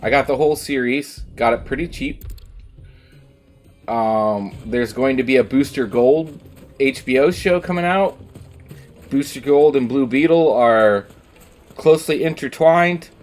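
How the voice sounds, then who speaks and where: A man's voice: mid-range voice, unhurried, pauses often, darkish tone, faint music behind. Rambling male streamer chatting casually. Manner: rambling, with frequent ums and casual swearing. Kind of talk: casual chat while gaming. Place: at home, streaming.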